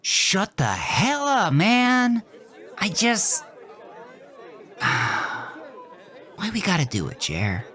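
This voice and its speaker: A man's voice: sits high, huge pitch swings, very slow, long pauses, sharp tone, a faint crowd, pitched above the performer's own voice, heavily acted. A man voicing a reluctant young buddy who pleads and sighs. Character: reluctant, uneasy young male buddy Rob.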